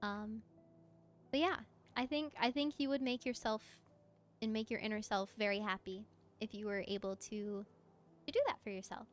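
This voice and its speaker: A woman chatting casually on a livestream as she plays, answering viewers in an expressive, encouraging, effusive way with a mezzo voice. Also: medium pitch, moderate pace, pauses often. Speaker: VTuber live streamer. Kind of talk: casual livestream chat while gaming, answering viewer comments.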